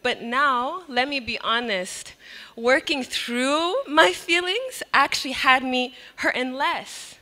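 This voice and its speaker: Young woman poet, bright and slow-paced, reciting her verse into a stage mic. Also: high-pitched. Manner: expressive, laughs between lines. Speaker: spoken word poet. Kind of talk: spoken word poetry performance. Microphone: handheld mic on a stand.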